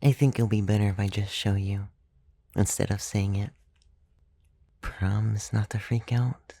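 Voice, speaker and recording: A gentle, teasing old vampire played by a man murmurs in an intimate ASMR roleplay. The voice is mellow and gravelly with fry, unhurried and a little hesitant, recorded very dry and close. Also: on the low side, steady tone, no room sound. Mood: coy, apologetic. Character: clumsy, gentle, centuries-old male vampire, teasing and coaxing. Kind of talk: ASMR roleplay, soft-spoken with whispered stretches. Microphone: close, dry microphone.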